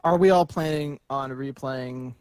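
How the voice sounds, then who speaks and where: A man's voice: medium pitch, unhurried, a little mellow, smooth, dry recording, clean studio sound. A man co-hosting a gaming podcast, chatting casually. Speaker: podcast co-host.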